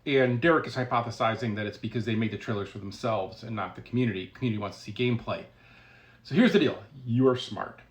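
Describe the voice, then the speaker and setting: A man's voice: mid-range voice, fast. A man speaking casually as a game developer, giving opinionated commentary in a blunt, sardonic, emphatic way.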